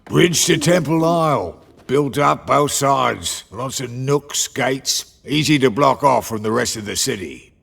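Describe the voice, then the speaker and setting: Creaky-voiced, wry guerrilla commander. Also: male voice, medium pitch, animated, measured pace, a bit bright, heavily acted. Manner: sardonic, clipped, sometimes florid. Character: wry, hard-bitten male guerrilla commander. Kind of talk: cinematic game voice acting.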